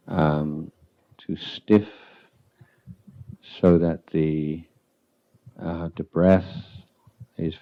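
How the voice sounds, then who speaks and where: Very deep-voiced man guiding meditation slowly. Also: dull audio. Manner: calm, unhurried, halting with long pauses. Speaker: Buddhist monk, meditation teacher. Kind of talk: guided meditation instruction.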